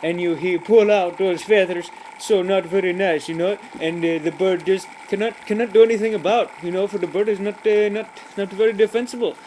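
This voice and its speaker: A man putting on a high-voiced rambling joke-teller. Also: steady tone, fairly quick, hardly any pauses, darkish tone, slight background noise, natural voice, low-quality recording. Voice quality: broken grammar, many fillers and restarts, giggly. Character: rambling, cheerful adult man telling pointless jokes. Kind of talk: comic character monologue.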